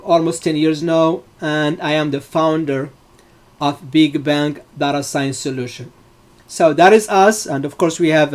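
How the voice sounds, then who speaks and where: A man's voice: fairly high pitch, darkish tone. Moroccan-born man lecturing with many fillers. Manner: many fillers, loose phrasing. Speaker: data science instructor. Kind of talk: live online lecture.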